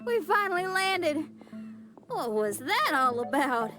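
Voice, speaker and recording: A woman voicing a bright, friendly young adventurer girl in an anime video game. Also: high voice, big pitch swings, slow, full character voice. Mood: relieved.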